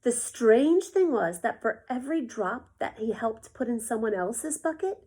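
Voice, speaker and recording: A woman narrates a children's story with deliberate expression. She takes her time, and her somewhat high voice rises and falls widely from phrase to phrase. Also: animated, warm tone, husky. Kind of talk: scripted children's read-aloud narration.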